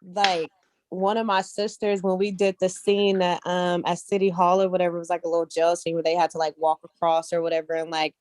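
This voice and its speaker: A woman speaking casually as an interview guest, telling personal stories in an animated, earnest and colloquial way, often checking in with her listeners. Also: fairly low pitch, a little fast, no echo. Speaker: guest, actress and casting director.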